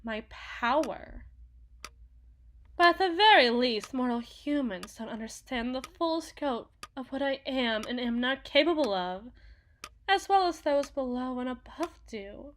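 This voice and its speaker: A woman's voice: sits high, lively intonation, a little slow, a bit bright, slight background noise. A woman voicing a powerful, possessive demon in a theatrical ASMR roleplay, speaking formally and imperiously like a coldly seductive deal-maker. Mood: haughty, aggrieved. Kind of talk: ASMR roleplay, dramatic monologue.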